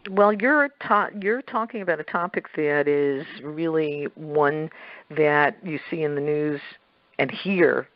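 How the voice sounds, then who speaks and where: A woman's voice: low-pitched, takes it slowly, no echo, dull audio. A middle-aged or older woman hosting a radio interview in a chatty, rambling, emphatic conversational way. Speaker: radio talk show host. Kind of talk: conversational interview with personal anecdotes.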